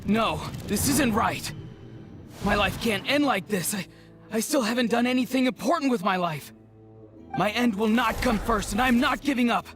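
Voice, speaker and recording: An actor playing an earnest, self-doubting young warrior with a defiant streak in a video game, sounding strained. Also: male voice, extremely high pitch, moderate pace, over music. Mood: desperate, defiant. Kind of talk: scripted video game dialogue.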